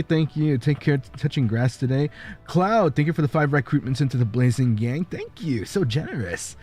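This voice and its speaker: A man's voice: mid-range voice, a little mellow. A man streaming live, chatting casually and thanking viewers by name, laughing often. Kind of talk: casual livestream chat. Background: faint background music.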